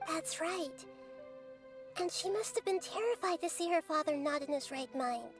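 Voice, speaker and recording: A woman voicing a small, wise girl deity in a video game, calm and earnest as she lectures. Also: very high voice, moderate pace. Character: small girl god, gentle, wise, calmly stern. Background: faint game music.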